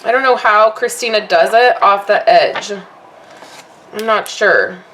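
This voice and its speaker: A woman with a slightly hoarse voice, chatting casually as she demonstrates card making at her craft table, rambling and apologetic at times. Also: mid-range voice, a little slow, a bit bright, slight background noise, low-quality recording. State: voice a little hoarse from allergies and little sleep. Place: home craft workspace, indoors.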